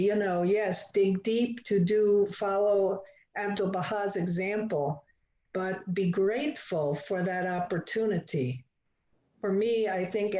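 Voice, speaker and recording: Woman on a zoom call, slow and mellow, kinda echoey, muffled audio. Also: medium pitch. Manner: slow, thoughtful, hesitant. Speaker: study group participant. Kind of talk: reflective group discussion. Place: home, video call. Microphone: video call. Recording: roomy dull video call audio.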